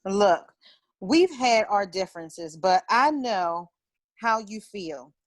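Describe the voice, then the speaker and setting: An actress at a table read playing a candid, sympathetic office colleague. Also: female voice, mid-range voice, animated, slow pace.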